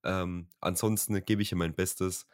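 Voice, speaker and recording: A man's voice: low voice, steady tone, a little slow, clear, resonant tone, no echo, studio quality. Young man, slightly nasal from a cold. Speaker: podcast co-host. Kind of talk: casual podcast chat.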